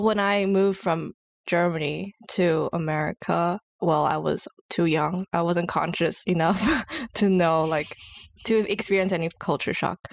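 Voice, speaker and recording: A woman's voice: on the low side, creaky, no room sound. Young woman telling stories, chatty. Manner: chatty storyteller, many "like" fillers. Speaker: podcast co-host. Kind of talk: casual chat.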